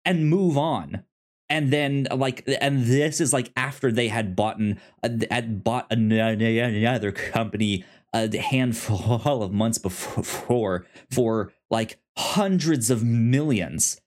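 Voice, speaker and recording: A man co-hosting a podcast, chatting casually about television in a halting, stammering way that often repeats words. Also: mid-range voice. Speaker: podcast host. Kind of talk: casual TV review chat.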